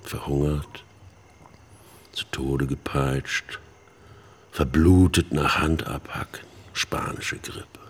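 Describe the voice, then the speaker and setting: An actor playing an embittered middle-aged man telling a haunted story in halting, emphatic phrases in a radio play. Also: a bass voice, fairly even intonation, slight background noise. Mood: grim, sardonic. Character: embittered older man haunted by his missionary sister's death. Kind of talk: dramatic monologue in a German radio play.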